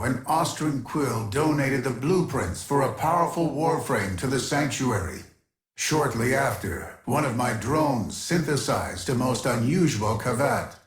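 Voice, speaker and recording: An actor playing an obsessive, imperious keeper of a digital archive in a video game, speaking in a formal, pompous, measured way. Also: male voice, medium pitch, reverberant, natural voice. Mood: solemn recounting. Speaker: video game quest-giving character. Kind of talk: scripted video game character dialogue.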